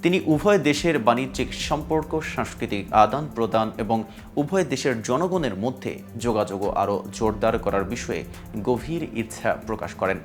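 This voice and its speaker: A man with a Bangladeshi accent presenting scripted news in a formal, measured newsreader delivery. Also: medium pitch. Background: music bed.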